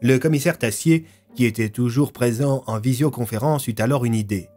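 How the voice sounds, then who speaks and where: A man narrates an audio fiction in a medium-pitched voice. His scripted storytelling runs over faint background music. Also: brisk, a little mellow.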